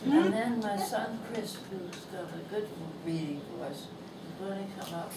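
A woman in her nineties with a thin voice, reading her own humorous essay aloud in a bookstore in a slow, gentle and amused way. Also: mid-range voice, expressive. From Canada. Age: 90s. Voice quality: thin, not a strong voice. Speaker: author reading her book. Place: bookstore, indoors. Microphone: lapel mic, filmed from across the room. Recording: echoey shop sound from a distance, background noise.

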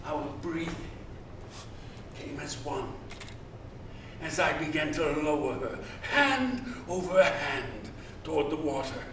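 Older male vain, possessive slaving captain; slow, high-pitched delivery, stage drama. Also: animated. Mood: menacing, gloating. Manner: theatrical, slow, intense. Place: large theater stage, indoors. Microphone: far from the speaker on stage. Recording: echoey hall, distant mic, hiss.

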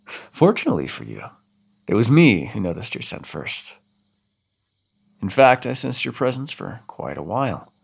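A man's voice: mid-range voice, very animated, normal speed, creaky, pauses often, husky, full character voice. A man voicing a centuries-old male vampire in an audio roleplay, condescending and teasing with sudden threats. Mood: menacing.

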